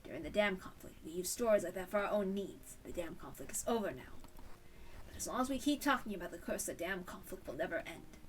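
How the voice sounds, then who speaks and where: Woman as a stern, low-toned old village elder. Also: expressive, brisk, far from the mic, slight background noise, natural voice, poor audio. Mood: stern, reflective.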